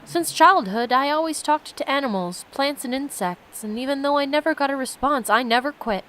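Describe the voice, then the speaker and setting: A woman reading aloud the journal of a reflective, solitary man in his nineties, measured and solemn. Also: sits high, lively intonation, fairly bright tone, slight background noise. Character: reflective, solitary 92-year-old man's written journal.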